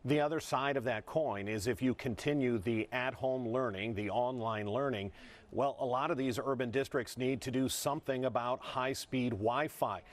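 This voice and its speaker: A man's voice: mid-range voice, moderate pace. A man with an American accent giving an explanatory news report as a television reporter.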